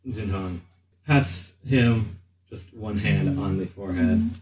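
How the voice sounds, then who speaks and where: A man improvising narration as the game master of a tabletop game, often pausing mid-sentence. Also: fairly low pitch, lively intonation, darkish tone. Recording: noisy room, distant mic.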